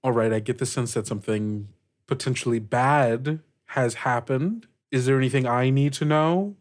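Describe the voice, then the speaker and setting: Player voicing a flustered, halting woman ship captain. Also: extremely low pitch, darkish tone. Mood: awkwardly calm. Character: bewildered, easygoing female ship captain.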